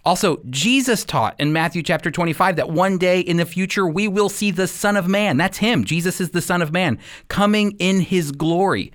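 A man hosting a radio program as a Bible teacher, speaking with deliberate, emphatic phrasing. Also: high voice, a bit bright.

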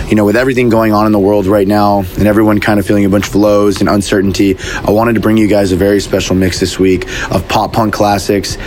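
A man's voice: on the low side, little pitch movement, quick pace, hardly any pauses, a little mellow, a little hiss or hum. A man hosting a radio show, introducing it casually and addressing his listeners directly. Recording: music playing behind the voice. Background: music.

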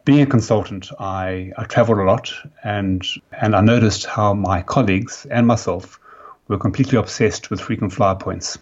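A South African man in his fifties, a company founder giving a podcast interview, talking haltingly with many fillers and restarts. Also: lowish voice, breathy edge. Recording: dull lo-fi sound.

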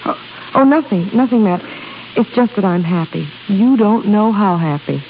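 An actress playing a devoted, discreet young secretary, polite and earnest, in 1930s radio drama style. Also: female voice, medium pitch, moderate pace, natural voice. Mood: happy, excited. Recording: tinny, noisy 1930s radio recording.

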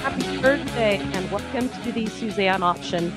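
A woman hosting a call-in radio talk show, slightly hoarse, chatty with frequent fillers while reading announcements. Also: medium pitch, moderate pace, over loud music. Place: radio studio. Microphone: studio microphone.

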